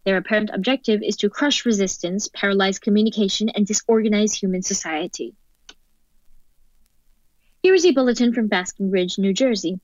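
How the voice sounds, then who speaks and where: A sober news announcer, played by a woman, reading urgent bulletins in a radio drama. The delivery is formal and medium-pitched, on a dry, slightly dull recording. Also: a little fast, darkish tone, dry recording, close to an everyday voice. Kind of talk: scripted radio play newsreading.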